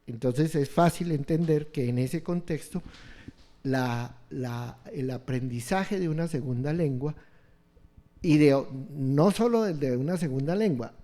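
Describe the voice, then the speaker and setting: A man explaining ideas on education in an interview, halting and restarting often as he searches for words. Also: fairly high pitch, mellow. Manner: slow, halting, many restarts and fillers. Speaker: education expert guest.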